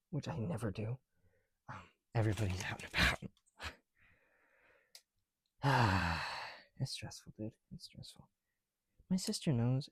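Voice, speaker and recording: A young man chatting casually in soft, breathy ASMR style, often dropping to a whisper, slightly breathless behind a mask, with a rambling, self-deprecating manner. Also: lowish voice, no room sound. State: somewhat breathless through a heavy mask. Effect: speaking through a mask. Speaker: ASMR video creator. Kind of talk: ASMR, casual chat while building bricks. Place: indoors, at home.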